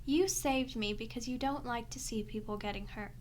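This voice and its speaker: A woman's voice: a little high, moderate pace, a little hiss or hum, close to an everyday voice. A woman playing a sardonic, teasing, centuries-old female vampire in an ASMR audio roleplay, sweary and unrepentantly bloodthirsty. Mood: grudgingly tender.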